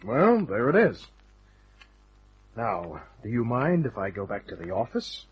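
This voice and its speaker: An actor playing a tough, sardonic hardboiled private detective in a radio drama, with clipped narration and dialogue. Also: male voice, fairly low pitch, wildly swooping pitch, a little slow, husky, dull audio, heavily acted.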